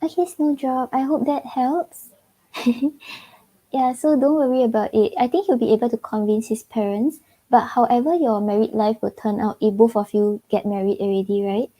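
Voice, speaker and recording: A woman's voice: a little high, steady tone, normal speed, dark tone. A woman from Singapore with a Singaporean English accent giving casual tarot readings on a livestream. She chats loosely, fills with "like" and giggles often. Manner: chatty, giggles often, many "like" fillers. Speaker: tarot reader.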